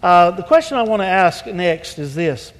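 A man leading a church service and hosting a panel, talking in a relaxed, folksy way with a chuckle. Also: high voice, normal speed. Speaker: pastor. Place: church sanctuary. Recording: hall reverb.

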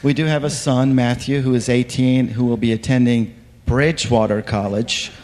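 A man's voice: medium pitch, fairly even intonation, normal speed, darkish tone. A man in his fifties, born in Turkey, introducing himself on a church panel in a good-humored way. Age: 50s. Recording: hall reverb.

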